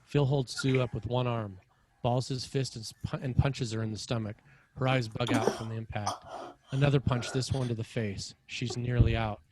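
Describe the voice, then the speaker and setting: A man's voice: mid-range voice, steady tone, normal speed, noisy background. A man reading screenplay action lines as narrator at a table read. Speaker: screenwriter narrating stage directions.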